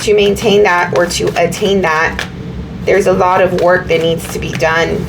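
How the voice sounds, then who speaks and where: A slightly hoarse woman with a fairly low voice talks her viewers through a tarot reading, wandering and repeating herself as she goes. Steady background noise sits clearly under her voice. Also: normal speed. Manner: meandering, repeats herself, many fillers. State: slightly hoarse, recovering from illness. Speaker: tarot reader.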